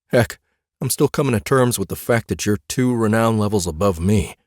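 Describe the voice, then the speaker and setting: A man's voice: on the low side, normal speed, no room sound, clean studio sound, lightly acted. A man voicing a mild, befuddled middle-aged husband and father in a fantasy audiobook, hesitant and earnest. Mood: confused, sheepish. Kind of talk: dramatic audiobook character dialogue.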